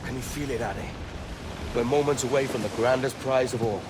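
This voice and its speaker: An actor playing a brash, greedy, hot-blooded young pirate captain in a video game, blunt and impatient. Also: male voice, medium pitch, brisk, heavy background noise, natural voice. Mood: eager. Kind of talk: video game cutscene acting.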